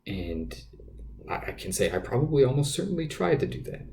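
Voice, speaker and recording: A man with a naturally quiet voice telling a personal story off the cuff as a podcast host, hesitant and often repeating his words. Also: medium pitch, very animated, brisk, vocal fry, a little hiss or hum. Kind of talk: unscripted personal storytelling monologue.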